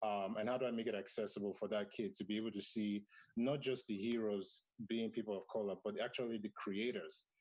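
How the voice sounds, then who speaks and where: A man in his thirties who grew up in Nigeria, speaking on a virtual panel. His voice sits on the low side, and he talks in a reflective way with plenty of "um"s and restarts. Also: steady tone, brisk. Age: 30s. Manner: thoughtful, with many fillers and restarts. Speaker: panelist, comic creator.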